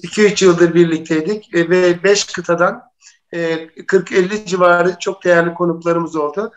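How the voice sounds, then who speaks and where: High-pitched man, dull muffled audio. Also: steady tone, normal speed. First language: Turkish. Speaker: programme co-host and interpreter. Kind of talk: live interview hosting and interpreting.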